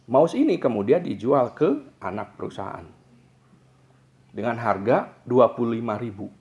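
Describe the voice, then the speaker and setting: A man's voice: medium pitch, very animated, moderate pace, a little mellow, dull audio. A man with an Indonesian accent giving an explanatory lecture, teaching by posing questions and answering them himself. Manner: didactic, asks and answers own questions. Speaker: accounting lecturer.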